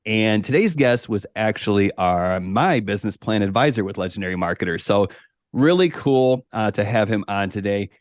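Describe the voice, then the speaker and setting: A podcast host with a low voice and a dry, close sound, introducing his guest with upbeat, salesy enthusiasm. His delivery sounds like a practiced show opening. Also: expressive, normal speed, no echo. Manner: upbeat, promotional.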